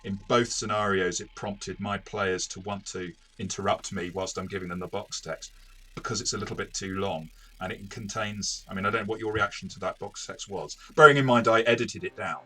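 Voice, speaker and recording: A man's voice: lowish voice, fairly even intonation, a little fast, a bit bright. A man hosting a casual review discussion as a game master, phrasing his points thoughtfully and correcting himself as he goes.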